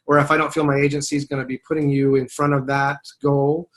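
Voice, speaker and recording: A man's voice: on the high side, flat delivery, fast talker, fairly bright tone. A man working as a voiceover agent, talking in a casual webinar conversation, thoughtful and rambling, often restarting his sentences.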